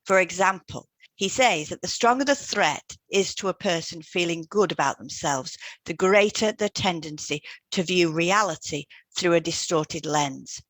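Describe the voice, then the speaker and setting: A woman co-hosting an educational podcast, reading scripted explanations in a measured, explanatory way. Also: fairly low pitch, a bit bright, no echo. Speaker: podcast co-host, hypnotherapist. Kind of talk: scripted podcast discussion, read aloud.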